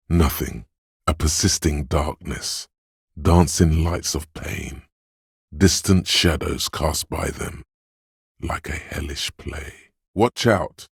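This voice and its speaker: The watchful inner sense of sight in a video game, a calm male voice that notes every detail in a measured, matter-of-fact way. The voice sits extremely low and sounds very husky and rough. It is recorded dry and close in a studio. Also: dry recording, heavily acted. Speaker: voice actor. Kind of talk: scripted video game voice line.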